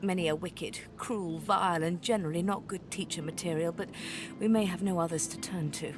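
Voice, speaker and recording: An actress playing a world-weary, sharp-tongued sorceress mentor in a fantasy video game, with a sardonic, impatient and dramatic manner. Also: female voice, fairly low pitch, steady tone, brisk, lightly acted. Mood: grim, sardonic. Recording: music behind the voice. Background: music.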